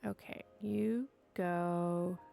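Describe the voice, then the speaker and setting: A woman's voice: fairly low pitch, steady tone, extremely slow, vocal fry, mellow, faint music behind. A young woman streaming a game, stuffy and sniffly from allergies, chatting to herself and her dog as she builds. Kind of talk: casual gameplay commentary.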